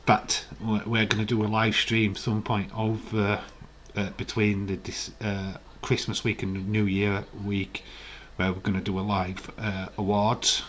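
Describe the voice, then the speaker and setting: Croaky chatty man streaming. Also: fairly low pitch, completely flat delivery, measured pace, hardly any pauses, fairly bright tone, slight background noise. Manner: chatty, rambling, reads out viewer comments. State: hoarse, croaky voice. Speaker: live stream host. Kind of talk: casual live-stream chat.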